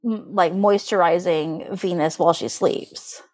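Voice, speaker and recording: A woman's voice: lowish voice, fairly even intonation, unhurried. Casual chatty woman podcaster. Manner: conversational, heavy use of "like". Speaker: podcast co-host. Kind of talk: casual astrology podcast chat.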